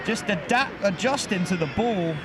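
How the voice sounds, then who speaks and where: A man calls a live football game with a high, bright voice, quick to joke and laugh. He is recorded outdoors with clear traffic and ambient noise running underneath the commentary. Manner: lively, jokey play-by-play, laughs easily. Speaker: play-by-play commentator.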